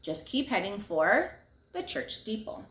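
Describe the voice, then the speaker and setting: A woman's voice: mid-range voice, sing-song, a little slow, echoey room. A woman voicing a cheerful, helpful little girl in a storybook read-aloud. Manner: bright, kind, eager.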